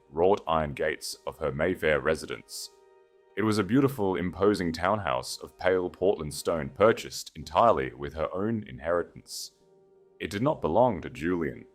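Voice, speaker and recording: Male audiobook narrator: low register, dramatic and solemn, measured literary delivery, highly varied intonation.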